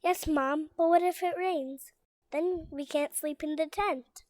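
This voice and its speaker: A woman's voice: high voice, fairly even intonation, a little slow, darkish tone. A woman voicing a young boy in a radio soap drama, childlike and insistent, anxious but stubbornly brave. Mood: reluctant, anxious.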